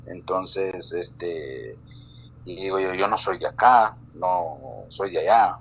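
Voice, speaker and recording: A man from El Salvador, a guest in a casual live-stream chat, talking in a halting way with many restarts and fillers. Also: deep voice, dull audio.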